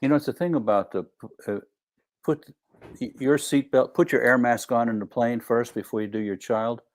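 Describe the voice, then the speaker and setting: A man's voice: mid-range voice, normal speed. A man speaking as a guest doctor in a live discussion, explaining things in emphatic, declarative statements.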